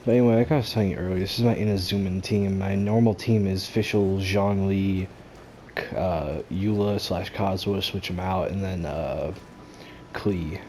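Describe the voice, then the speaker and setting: A man hosts a casual game playthrough in a low-pitched voice. He talks in an offhand, slangy way, with clear noise and game ambience in the recording. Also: moderate pace, warm tone. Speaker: gamer, playthrough host. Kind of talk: casual gameplay commentary. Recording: noisy, game ambience behind.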